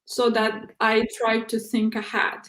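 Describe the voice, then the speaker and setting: A woman's voice: a little high, monotone, a bit bright, roomy sound, poor audio. A young woman from Uzbekistan, a non-native English speaker, talking as a guest in a casual interview with many fillers and restarts. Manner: halting, frequent "like" and "uh" fillers. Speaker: interview guest, PhD researcher.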